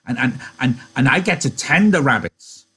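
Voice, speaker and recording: A man's voice: mid-range voice, expressive, normal speed, fairly bright tone, pitched above the performer's own voice. A man voicing a simple-minded, childlike, eager grown man in a novel reading, stammering and repeating his words. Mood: excited, eager.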